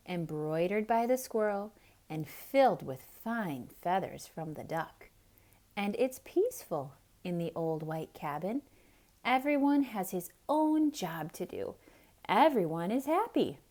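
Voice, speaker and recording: Slow, very animated woman storyteller. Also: medium pitch. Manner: expressive, dramatic, explaining things to children. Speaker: children's storyteller. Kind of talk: theatrical picture-book read-aloud.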